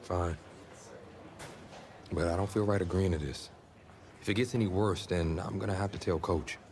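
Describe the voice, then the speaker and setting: A man's voice: low voice, normal speed, darkish tone, a little hiss or hum, natural voice. A man playing a confident, earnest young backup quarterback in a video game cutscene, talking casually. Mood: earnest, concerned. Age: young adult.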